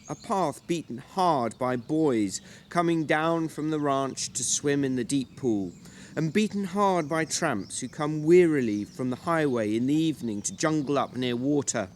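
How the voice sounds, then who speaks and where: Brief: male narrator, mid-pitched, for literary fiction, deliberate and measured, dramatic delivery. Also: a little hiss or hum. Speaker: narrator reading a novel.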